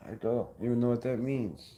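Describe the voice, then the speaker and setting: A man's voice: medium pitch, fairly quick, mellow. A young man from Southern California hosting a casual fan livestream, talking loosely in slang and repeating himself as he reads chat comments. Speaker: fan channel livestream host.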